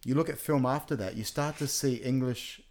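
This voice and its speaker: A man's voice: mid-range voice, moderate pace, a little mellow, slight background noise. A man co-hosting a casual film chat, talking in a rambling, digressive way and correcting himself as he goes. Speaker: podcast co-host. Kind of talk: casual chat about films.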